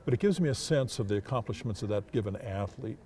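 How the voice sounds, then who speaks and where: Older male announcer in interview mode: low, very mellow voice, thoughtful, halting, formally worded delivery. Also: lively intonation. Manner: hesitant, deliberate, formal wording. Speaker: sports public address announcer. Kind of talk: reflective interview talk.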